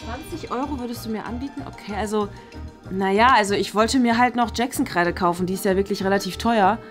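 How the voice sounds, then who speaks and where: A woman's voice: mid-range voice, a little fast, close to an everyday voice. A young woman playing a reluctant schoolgirl with scruples in a comedy sketch, hesitant, awkward and casual. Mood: hesitant, tempted. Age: young adult. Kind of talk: scripted classroom comedy sketch. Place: small bright classroom, indoors. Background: faint music.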